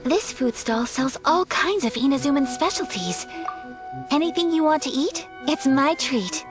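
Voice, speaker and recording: A woman playing a polite, refined young noblewoman in an anime game, gentle, earnest and formally courteous. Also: sits high, expressive, unhurried, music in the background. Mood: gracious. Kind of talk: anime video game voice acting.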